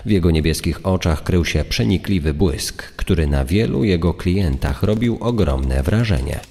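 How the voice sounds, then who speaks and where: A man narrates a crime story from a script in a very low, gravelly voice. The tone is dark, and a long reverb trails each phrase. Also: lively intonation, normal speed. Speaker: secondary true-crime narrator.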